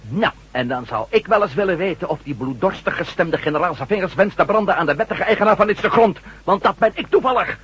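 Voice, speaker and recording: An actor playing a brash, defiant reporter facing down soldiers in a radio drama. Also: male voice, sits high, expressive, quick pace, fairly bright tone, slightly rough, full character voice. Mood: indignant. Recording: dull vintage 1970s radio recording.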